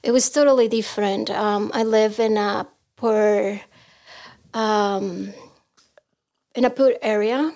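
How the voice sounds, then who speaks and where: A woman from Mexico, a podcast guest, telling her story in a soft-spoken, halting way with many fillers. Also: medium pitch, steady tone. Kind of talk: interview storytelling.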